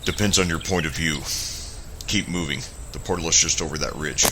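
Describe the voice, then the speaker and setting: A man's voice: very deep voice, brisk, very noisy. An actor playing a terse, businesslike male soldier in an audio drama. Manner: curt, terse.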